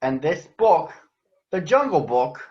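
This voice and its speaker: A man's voice: on the high side, very animated, takes it slowly. A man hosting a virtual picture-book read-aloud, addressing young schoolchildren and their teachers. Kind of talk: picture-book reading to children. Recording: roomy echo, dull lo-fi sound.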